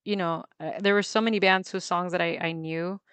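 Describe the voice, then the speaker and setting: A woman's voice: on the low side, fairly even intonation, a little fast, a bit bright, clean tone, no echo. A woman chatting casually while she draws on a livestream. She laughs often and fills her talk with ums and restarts. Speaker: livestreamer drawing on a tablet. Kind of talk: casual chat while drawing.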